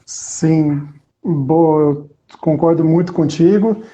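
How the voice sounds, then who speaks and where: A man hosts a live interview over a video call. His voice is a little high and warm, and his phrasing is measured and formal. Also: low-quality recording. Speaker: host, physical education teacher.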